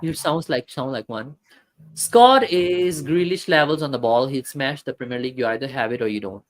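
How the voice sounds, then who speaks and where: Casual male stream host, halting delivery, lots of uh and restarts. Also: mid-range voice, lively intonation, soft background music. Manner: hesitant, many fillers and restarts. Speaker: football talk stream host. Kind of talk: casual live-stream football chat, reading chat comments.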